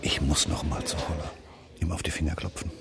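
An actor playing a dry, terse, probing police detective in naturalistic radio drama dialogue. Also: male voice, a bass voice, steady tone, fairly quick, vocal fry, a crowd in the background. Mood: dry, confiding.

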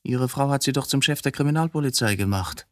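An actor in a radio drama playing a coolly provocative, philosophical man who has confessed to killing his wife, speaking deliberately in probing rhetorical questions. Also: medium pitch, a bit bright, no echo, clean studio sound, lightly acted. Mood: calm, insinuating.

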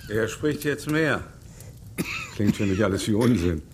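A polite, drily skeptical character in a radio crime play. His voice sits fairly low, with faint ambience around it. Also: lively intonation, unhurried, a little hiss or hum. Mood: dryly amused. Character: polite, wryly skeptical man named Marcus. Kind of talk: radio drama acting.

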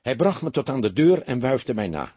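A man's voice: mid-range voice, very animated, moderate pace, mellow, breathy edge, no room sound, pitched above the performer's own voice. A man voicing an earnest, polite businessman explaining himself in an audiobook. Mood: amused, chatty. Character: earnest, round-faced businessman giving his account.

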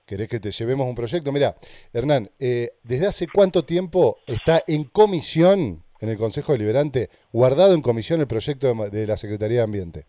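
Pressing Argentine radio journalist, dark-voiced. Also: male voice, medium pitch, expressive, fairly quick, no room sound. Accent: Argentine Spanish. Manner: pressing, repeats pointed questions. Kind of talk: confrontational interview.

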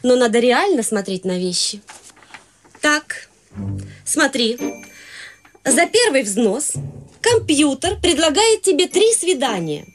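Bossy society mother, radio play, high voice, slow and condescending. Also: very animated, soft background music, exaggerated voice. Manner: brisk, condescending, overbearing.

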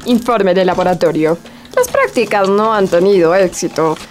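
A woman playing an excitable time-traveling inventor in a school radio drama. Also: medium pitch, normal speed, lightly acted. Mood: dejected. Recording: noisy amateur radio recording.

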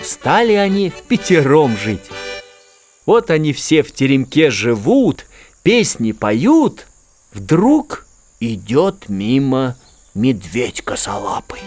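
A man narrating a children's fairy tale in an expressive, theatrical storytelling style. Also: mid-range voice. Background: children's music.

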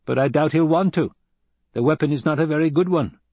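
A man's voice: a little high, a little fast, darkish tone, no echo, voice pushed up. A man voicing a proud male desert warrior in an audiobook, blunt and matter-of-fact, eager about battle. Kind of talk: audiobook character dialogue.

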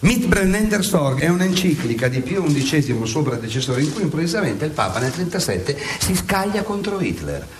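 An actor playing a relentless, sardonic prosecutor in a satirical mock trial, declaiming insistently on stage. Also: male voice, a little high, sing-song, fairly quick, few pauses, reverberant, recorded from a distance, noisy background. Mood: insistent, indignant. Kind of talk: theatrical courtroom monologue in radio theatre.

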